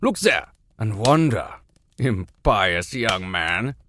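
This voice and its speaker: A man's voice: lowish voice, very animated, takes it slowly, sharp tone, slight background noise, heavily acted. Man acting an imperious old professor, wildly swooping delivery. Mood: lofty, scolding. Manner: declamatory, exclamatory.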